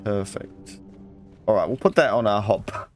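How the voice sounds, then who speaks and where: A man's voice: on the low side, measured pace, soft background music. A man giving casual let's play commentary, muttering his thoughts aloud and reading out item text.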